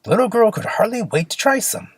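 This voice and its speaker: An expressive storyteller reads a simple fairy tale for children. The voice is husky and creaky, moving up and down a great deal in a dry, close space. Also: medium pitch, quick pace, no room sound.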